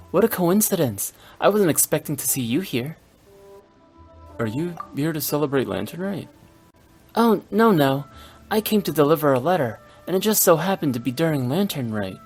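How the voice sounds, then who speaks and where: A man's voice: on the high side, natural voice. An actor playing an earnest, modest young soldier boy in a video game, polite and unsure of himself. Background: music.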